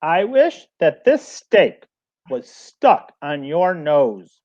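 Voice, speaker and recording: A man playing a poor, impulsive woodcutter husband in a storybook read-aloud, plain-spoken and expressive. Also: a little high, very slow, full character voice. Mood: resolute. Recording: dull lo-fi recording.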